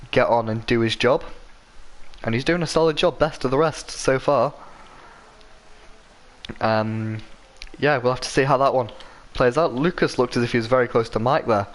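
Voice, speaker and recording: A man calls an online racing league live, his voice a little croaky from a sore throat. He talks at a middling pitch, rambles between moments of action and corrects himself often, filling the gaps with ums and uhs. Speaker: race commentator. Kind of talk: live sim-racing commentary.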